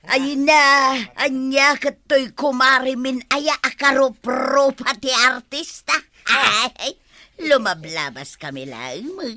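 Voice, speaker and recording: Sharp, high-voiced pushy village neighbour. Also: female voice, slow, dry recording, heavily acted. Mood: flattering. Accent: Ilocano Filipino. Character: pushy, scheming village woman, gossipy neighbour. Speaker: radio drama actress. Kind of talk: broad radio comedy acting.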